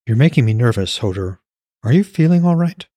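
Man voicing an anxious woman, pitched up. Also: big pitch swings, a little fast, mellow, no room sound, clean studio sound. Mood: alarmed, nervous. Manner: hesitant, questioning. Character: anxious, questioning woman, wary and hesitant.

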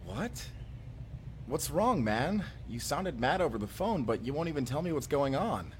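A man playing an excitable, friendly companion in a science fiction audio roleplay, speaking casually and expressively. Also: on the high side, normal speed, slight background noise. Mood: puzzled, uneasy. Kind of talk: immersive first-person audio roleplay acting.

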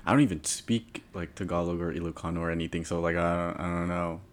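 A man's voice: deep voice, level tone, fast. A young man, a podcast co-host, chatting casually with laid-back, dry short remarks.

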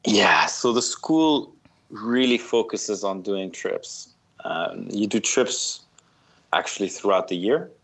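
A man in his thirties from Switzerland speaks as an interview guest, his voice sitting a bit low. He tells his stories in a relaxed way, with fillers and laughter along the way. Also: slow, husky, low-quality recording. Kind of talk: casual interview.

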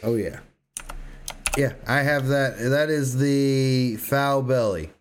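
A man's voice: mid-range voice, slow pace, a little hiss or hum. A man co-hosting a podcast, chatting casually about games with crude, informal joking. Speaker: podcast co-host. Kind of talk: casual gaming chat.

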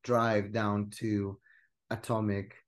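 Relaxed man reminiscing. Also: fairly low pitch, takes it slowly. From Greece. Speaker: musician, guest. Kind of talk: casual storytelling.

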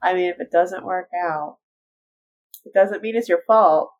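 A woman's voice: on the low side, measured pace. A woman co-hosting a casual podcast chat, stuffy from allergies, with a wry, easygoing manner. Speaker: podcast co-host. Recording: slightly dull sound.

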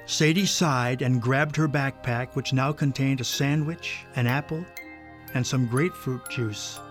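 A man's voice: medium pitch, fairly even intonation, unhurried, faint music behind. A man narrating a scripted children's story.

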